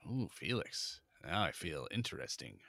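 Laughing man, lively podcast co-host. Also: low voice, normal speed, vocal fry, no echo, clean studio sound. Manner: laughs often, animated, bright. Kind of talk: casual chat.

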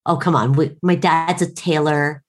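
A woman's voice: low-pitched, fairly even intonation, normal speed. A woman in her late thirties with an American accent, telling a wry, self-deprecating story in casual conversation. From United States. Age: late 30s.

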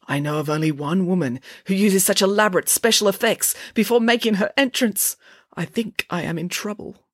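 A woman's voice: medium pitch, moderate pace, no echo, studio quality, voice pushed up, natural voice. A woman voicing a cheerful, wily female pig from a children's story, chatty, polite and ingratiating. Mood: worried.

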